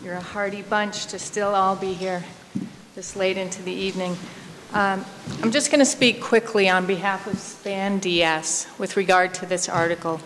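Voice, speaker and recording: A woman's voice: medium pitch, fairly even intonation, moderate pace, fairly bright tone. Woman at a podium in a noisy hall. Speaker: community organization representative. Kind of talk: public comment at a town meeting. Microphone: podium microphone. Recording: reverberant hall with clear room noise.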